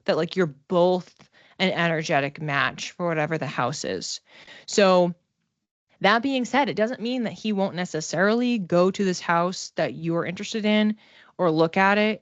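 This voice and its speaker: A woman giving a casual one-to-one card reading as a psychic, talking conversationally and haltingly, circling back over phrases as she thinks aloud. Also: on the low side, no echo, highs cut off. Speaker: psychic reader.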